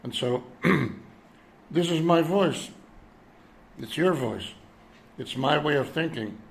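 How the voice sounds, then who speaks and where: A man in his seventies from Europe speaking in an unscripted, reflective monologue, halting as he searches for words. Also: on the high side, lively intonation, a little hiss or hum. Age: 70s. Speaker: citizen vlogger addressing world leaders.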